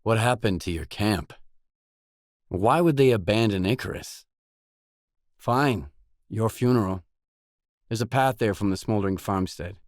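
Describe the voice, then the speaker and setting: An actor voicing a gruff, guarded lone stalker for hire in a video game, speaking in terse, dry, self-assured lines. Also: male voice, on the low side, measured pace, pauses often, no echo, close to an everyday voice. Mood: dry, defiant.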